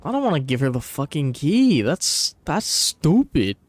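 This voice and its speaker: A man's voice: on the high side, big pitch swings, measured pace. A man doing casual, profanity-laced live game commentary and banter, with a blunt, teasing, slangy manner. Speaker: game streamer. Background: music.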